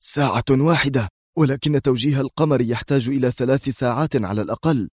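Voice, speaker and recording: A man voicing an edgy, irritable male operative in an audiobook, with a sharp, agitated delivery. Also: medium pitch, fast talker, no room sound, studio quality, pitched above the performer's own voice, close to an everyday voice. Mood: nervous. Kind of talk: audiobook character voice.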